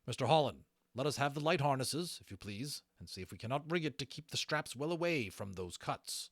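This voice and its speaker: A man's voice: mid-range voice, animated, a little fast, no room sound, clean studio sound, pitched above the performer's own voice. A man acts out a fantasy storybook captain. He speaks formally and firmly, giving courteous orders in a lifted, projected voice with little room sound. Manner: formal, polite commands. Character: firm, courteous male military dragon captain.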